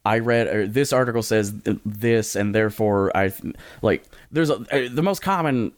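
A man's voice: on the low side, moderate pace, dry recording. A man co-hosting a podcast, giving casual advice in a rambling, jokey way and often interrupting himself. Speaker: podcast co-host.

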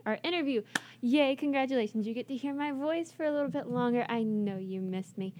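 A woman's voice: on the high side, fairly quick, a little mellow, a little hiss or hum. A young woman hosting a college radio interview, chatty and bubbly, with lots of filler words. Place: radio studio.

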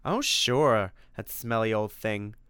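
A man's voice: fairly low pitch, slow pace, bright, forceful tone, clear, resonant tone, dry recording, clean studio sound. A man voicing a big, ugly but good-natured storybook monster who talks in a folksy, mock-grand way. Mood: obliging. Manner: folksy mock-grand speech, eager to explain.

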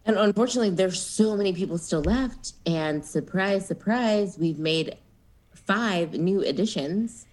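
A woman co-hosting a podcast in casual chat, animated and giggly, with lively storytelling asides. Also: mid-range voice, unhurried, rough recording. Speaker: podcast co-host.

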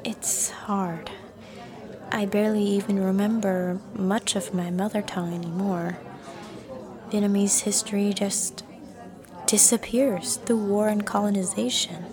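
A woman playing a guarded, unsure young student in an audio drama, soft-spoken and hesitant, often trailing into breathiness. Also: mid-range voice, natural voice. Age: young adult. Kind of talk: acted audio drama dialogue.

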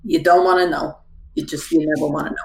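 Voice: mid-range voice, fairly even intonation, fast, gravelly, a little mellow, a little hiss or hum, lightly acted. A tabletop player voicing a chatty ninety-year-old gnome woman cook, rambling and folksy, genially evasive. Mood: chatty, guarded. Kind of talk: improvised in-character tabletop roleplay. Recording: roomy echo, faint music behind.

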